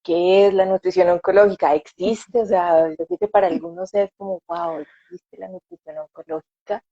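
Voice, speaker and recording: A woman's voice: fairly low pitch, moderate pace, dark tone, no echo. A woman hosting a live-stream interview, talking in a reflective way and often tagging her points with questions. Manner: reflective, repeats with "o sea" and "¿no?". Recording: dry dull lo-fi sound.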